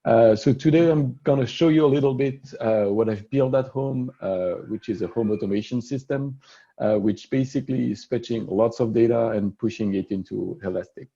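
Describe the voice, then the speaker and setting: A man with a French accent giving a casual, relaxed tech demo over an online meeting, with frequent "uh" fillers. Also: medium pitch, moderate pace, slightly rough, highs cut off. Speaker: solutions architect presenting a demo. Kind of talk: casual screen-share tech presentation.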